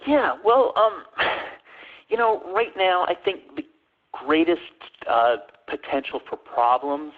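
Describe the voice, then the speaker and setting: A man presenting in a webinar, answering questions with many pauses and fillers. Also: a little high, very animated. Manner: hesitant, many ums and uhs. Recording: tinny phone-line audio.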